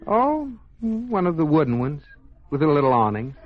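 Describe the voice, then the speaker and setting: An actor playing a self-important, easily flustered husband with an American accent in an old radio sitcom. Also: male voice, a little high, sing-song, moderate pace, some chatter behind. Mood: nervous, evasive. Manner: pompous, stammering when cornered. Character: self-important banker husband, nervously evasive, protesting too much. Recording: muffled old radio recording, studio audience.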